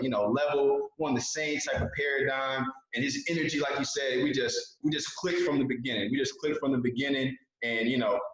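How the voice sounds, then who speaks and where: A man's voice: medium pitch, a bit bright. Man, 20s, guest speaker on a video call. Earnest motivational delivery, repeats himself for emphasis. Very echoey, dull call audio.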